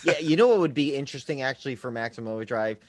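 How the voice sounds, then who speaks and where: Man, medium pitch, dry close sound, podcast host, casual movie talk, halting phrasing. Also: expressive, no room sound. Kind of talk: casual film chat.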